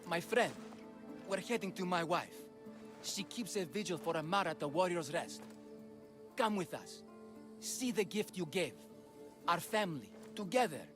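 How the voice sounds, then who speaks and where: A man's voice: high-pitched, animated, normal speed, pauses often, music in the background. An actor playing a sheepish, blustering father in a video game, a theatrical showman with a cowardly streak. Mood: heartfelt. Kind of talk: video game cutscene acting.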